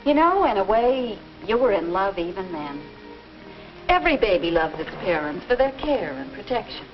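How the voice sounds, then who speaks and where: A dull, vintage film soundtrack with music carries an actress playing a middle-aged American mother. She is gentle and patient, explaining things to her daughter in a very expressive, rising and falling voice. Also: mid-range voice, normal speed, natural voice. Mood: patient, explanatory. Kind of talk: 1950s instructional film acting.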